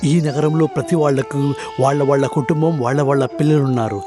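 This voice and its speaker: A man voicing a sorrowful, guilt-ridden king in an animated moral story, speaking in a solemn, formal way. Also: mid-range voice, fairly quick, close to an everyday voice. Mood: sorrowful, regretful. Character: sorrowful, guilt-ridden childless cursed king, humble and pleading. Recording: background music under the voice.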